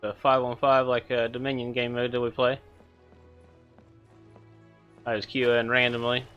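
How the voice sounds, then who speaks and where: Laid-back, bright-voiced male streamer. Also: medium pitch, completely flat delivery, moderate pace, clean tone, lo-fi sound, soft background music. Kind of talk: casual gameplay streaming.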